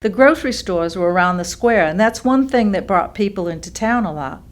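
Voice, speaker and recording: A woman's voice: mid-range voice, expressive, fairly quick, lightly acted. A woman playing a small-town storekeeper fondly recalling old days in a staged reading. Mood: fond, reminiscing. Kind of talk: staged monologue of oral history recollections.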